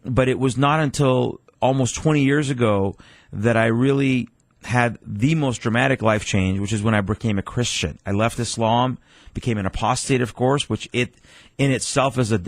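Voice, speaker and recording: A man's voice: medium pitch, moderate pace, no room sound. A man in his forties, originally from Iran, a pastor speaking earnestly as a radio guest with frequent restarts.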